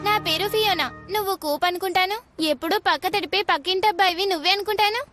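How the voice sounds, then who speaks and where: Confident young cartoon girl, extremely high voice, breezy and teasing, over music. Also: quick pace, fairly bright tone. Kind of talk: dubbed cartoon acting.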